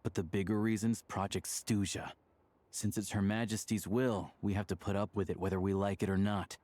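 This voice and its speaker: A man's voice: on the low side, flat delivery, moderate pace, a little mellow, natural voice. An actor playing a wry, world-weary townsman in a video game, with a laconic, easygoing manner. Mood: dry, resigned. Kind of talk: video game character dialogue.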